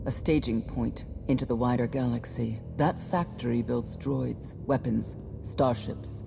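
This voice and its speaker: Seasoned female soldier: creaky, clipped, impatient and dutiful, game dialogue over clear music. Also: a bass voice, unhurried, exaggerated voice. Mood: stern. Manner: clipped, matter-of-fact. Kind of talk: scripted game cutscene dialogue.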